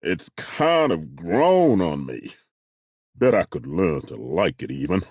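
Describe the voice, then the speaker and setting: An actor playing a gruff, weary dwarf warrior in a video game, grumbling with crude, wry humor. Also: male voice, low-pitched, wildly swooping pitch, takes it slowly, darkish tone, no room sound, studio quality, full character voice. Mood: dry.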